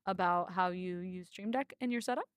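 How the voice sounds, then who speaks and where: Brief: woman host, clear smooth voice, upbeat chat, dry sound. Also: fairly low pitch, normal speed, no echo. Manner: upbeat, encouraging, says "like" and "you know". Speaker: brand stream host. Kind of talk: casual interview chat.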